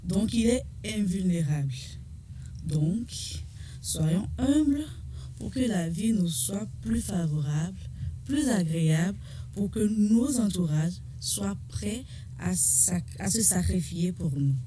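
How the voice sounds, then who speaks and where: A woman's voice: mid-range voice, expressive, slow pace, mellow, a little hiss or hum. A woman co-hosting a radio show, speaking in a reflective, preacher-like way. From Togo. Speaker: radio co-host. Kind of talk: casual radio talk.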